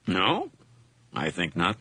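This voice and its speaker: An actor playing a calm, measured police officer asking probing questions in a radio drama. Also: male voice, deep voice, husky, no room sound, heavily acted.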